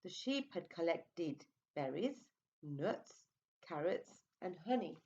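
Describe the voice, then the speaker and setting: A woman reading a picture book aloud to young children, expressive and coaxing, with little questioning asides. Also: on the low side, takes it slowly, highs cut off. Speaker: storyteller for preschool children.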